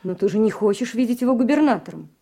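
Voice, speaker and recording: An actress playing a gentle, concerned young woman in a radio drama, quietly coaxing and persuasive. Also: on the high side, lively intonation, quick pace. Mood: tentative, coaxing. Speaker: actor in a radio play. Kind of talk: Soviet-era radio drama acting.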